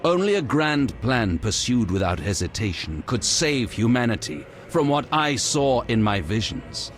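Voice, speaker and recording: An actor playing a zealous, prophetic leader of an order in a fantasy game, a grandiose villain with a solemn, declamatory manner. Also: male voice, medium pitch, very animated, unhurried, a little hiss or hum. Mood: solemn conviction. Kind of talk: dramatic fantasy video game acting. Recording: music behind the voice.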